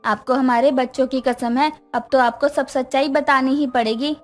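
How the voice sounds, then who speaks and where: Woman playing a self-sacrificing middle-aged mother in a family story, high-pitched and meek, music behind. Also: fairly even intonation, normal speed, close to an everyday voice. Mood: hurt, pleading. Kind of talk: acted dialogue for a narrated moral story.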